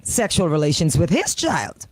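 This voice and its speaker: A woman with a fairly low voice and a Caribbean accent speaks as a talk radio host. Her delivery is conversational, blunt and sardonic. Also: very animated, normal speed, darkish tone, poor audio. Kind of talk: casual talk radio discussion.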